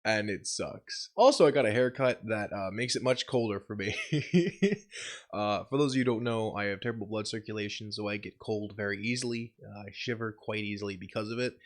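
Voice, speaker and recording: A young man sick with a cold, his voice deeper than usual, telling a rambling vlog story with lots of swearing and laughter. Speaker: channel host. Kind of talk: casual vlog update.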